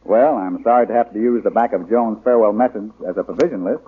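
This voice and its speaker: An actor playing a wry, easygoing young gentleman adventurer in a 1930s-style radio drama. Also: on the low side, fast, husky. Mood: good-natured. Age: young adult. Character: lanky young gentleman adventurer, wry, hearty, good-natured. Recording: muffled old radio broadcast recording.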